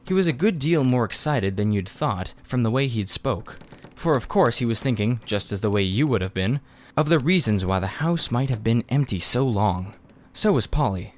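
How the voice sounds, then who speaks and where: A male audiobook reader narrates a children's story. His voice is medium in pitch, and the delivery is that of scripted storybook narration. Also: lively intonation, moderate pace, slight background noise.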